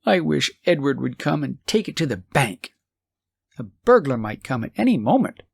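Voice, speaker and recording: Male reader as old woman, poor and anxious, fretful manner, creaky edge, lively pitch, storybook reading. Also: moderate pace, no room sound, heavily acted. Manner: sighing, fretful worrying.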